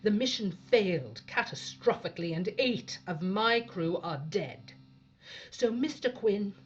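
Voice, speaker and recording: A woman's voice: mid-range voice, highs cut off, lightly acted. A woman playing a blunt, authoritative female spaceship captain in an audio drama. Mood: stern anger. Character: tough, commanding female captain of a failing spaceship. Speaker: actor playing a ship's captain.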